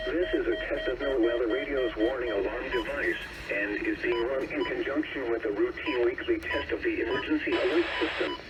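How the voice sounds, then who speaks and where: A man's voice: mid-range voice, wildly swooping pitch, moderate pace, long pauses. A man reading a formal scripted test announcement over a weather radio. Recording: very noisy weather radio broadcast.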